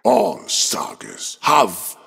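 A proud, scrappy old warrior in long reverb. The game voice is raspy and forceful, taking its time over each combative line. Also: male voice, lowish voice, very animated, extremely slow, full character voice. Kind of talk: game voice lines, dramatic acting.

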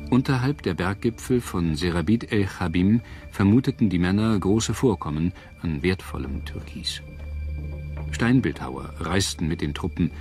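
Deep guy narrating a history doc, measured dramatic storytelling, music playing behind him. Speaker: documentary narrator. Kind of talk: scripted history documentary narration. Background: prominent music.

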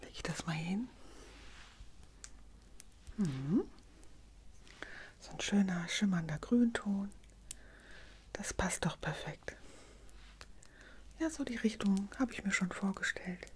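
A middle-aged woman in a gentle, unhurried whispered ASMR roleplay, speaking softly and breathily close to the microphone. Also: medium pitch, animated, slightly rough. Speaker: ASMR creator playing a customer in a drugstore roleplay.

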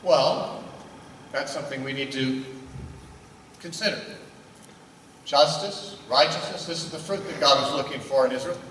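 A man's voice: mid-range voice, normal speed, long pauses, fairly bright tone, echoey room, big-room sound, far from the mic, a little hiss or hum, rough recording. An older man preaching a sermon into a handheld mic, asking questions and answering them himself. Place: indoor meeting room.

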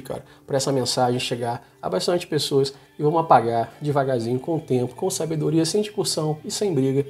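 Husky Brazilian man talking informally. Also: medium pitch, a little mellow. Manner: colloquial, slangy, emphatic. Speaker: vlogger. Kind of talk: informal opinion monologue to camera. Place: small home office, indoors.

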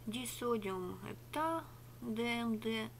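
A middle-aged woman rambling through a sarcastic product review in a home room, switching between a whisper and a soft speaking voice. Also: fairly high pitch, animated, slow pace, creaky, slight background noise. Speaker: ASMR vlogger. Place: small home room with rug on wall. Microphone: webcam at arm's length.

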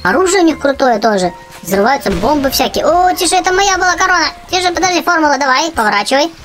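A youthful-sounding gaming host giving excited, chatty gameplay commentary, often exclaiming and repeating phrases. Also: high voice, fast talker, soft background music. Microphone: voice-over on game footage.

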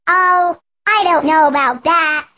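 Slow, high-voiced girl elephant. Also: steady tone, pushed and bright, muffled recording, exaggerated voice. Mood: modest, dreamy. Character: modest, gentle young girl elephant. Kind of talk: children's educational game acting.

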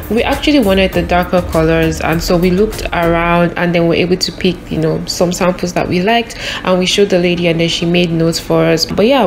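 A young woman, chatting casually to camera as a vlogger with lots of fillers. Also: lowish voice. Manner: relaxed, chatty, frequent fillers. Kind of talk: casual vlog chat to camera. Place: small indoor room at home. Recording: roomy echo with music underneath.

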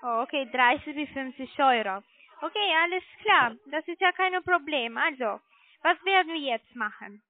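A non-native German-speaking woman giving a hesitant sales pitch over the telephone as a call centre agent. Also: animated, dry recording. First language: not German. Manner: hesitant, halting. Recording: muffled narrowband phone line.

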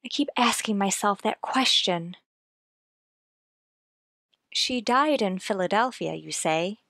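A woman playing a gentle, concerned character in an old-time radio play, soothing and earnest. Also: mid-range voice, lively intonation, slow pace, gravelly, dry recording, clean studio sound, exaggerated voice. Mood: troubled, earnest.

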